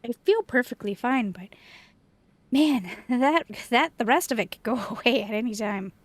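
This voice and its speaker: A woman hosting a gaming channel, chatting casually over gameplay in a slightly hoarse voice after a chest cold, rambling and self-deprecating. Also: fairly high pitch, lively intonation, moderate pace, dry recording.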